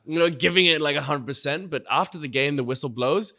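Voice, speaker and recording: A man's voice: on the high side, fast talker. A young man from Thailand, a guest in an interview, answering casually and often saying "you know" and "like". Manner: relaxed, frequent "you know" and "like".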